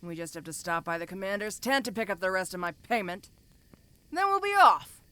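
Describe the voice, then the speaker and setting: A woman's voice: medium pitch, animated, a little fast, a bit bright, close to an everyday voice. A woman voicing a domineering giant ogre mercenary in an audio roleplay, speaking to the listener in a casual, commanding, teasing way. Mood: pleased. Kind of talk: first-person audio roleplay addressed to the listener.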